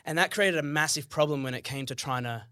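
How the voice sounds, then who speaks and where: A young man tells stories in a loose, slangy, animated way, often acting out what people said. His voice sits a bit high for a man. The sound is dry and close, with no room echo. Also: fast. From Australia. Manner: animated, slangy, acts out quotes. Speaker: podcast guest. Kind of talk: casual storytelling chat.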